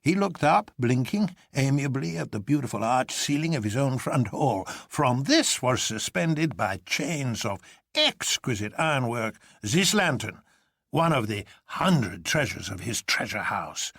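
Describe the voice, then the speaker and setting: A man voicing an excitable old colonel who tells his tale with emphatic relish in an audiobook. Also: mid-range voice, measured pace, vocal fry, no room sound, studio quality, full character voice. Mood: amused, boastful.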